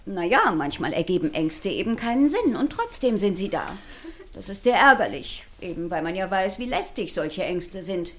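An actress playing a flustered, nerdy expert lecturing children in stilted technical language in a radio play. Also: female voice, mid-range voice, very animated, normal speed, a bit bright. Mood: flustered, earnest. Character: flustered, nerdy woman expert teaching children about fear.

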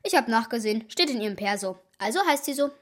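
Helles, trotziges junges Mädchen. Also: female voice, fairly high pitch, expressive, quick pace. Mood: defiant. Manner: insistent, contrary. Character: stubborn, cheeky young girl, jealous daughter. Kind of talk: children's radio play acting.

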